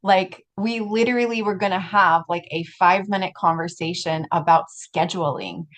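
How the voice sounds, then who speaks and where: A woman's voice: fairly low pitch, fairly even intonation, moderate pace. A woman hosting a podcast, speaking in a casual, conversational interview style with effusive enthusiasm.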